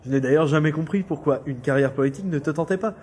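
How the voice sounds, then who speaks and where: An actor playing a patronizing, prying older uncle in politics, in a French audio drama, with probing, hesitant delivery. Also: male voice, a little high, quick pace, darkish tone, a little hiss or hum, close to an everyday voice. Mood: patronizing, fond.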